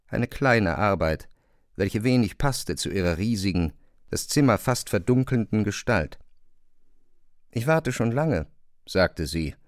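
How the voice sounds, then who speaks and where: A man with a low voice reads literary prose as narrator. The sound is close and very dry, like a studio recording with no room around it. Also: no echo. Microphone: close, dry studio microphone.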